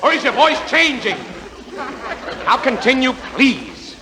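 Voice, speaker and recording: An actor playing a sarcastic, hot-tempered theater director in a 1940s radio play. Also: male voice, very high-pitched, huge pitch swings, unhurried, in a large hall, a crowd in the background, exaggerated voice. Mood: exasperated. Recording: faint hiss of old radio.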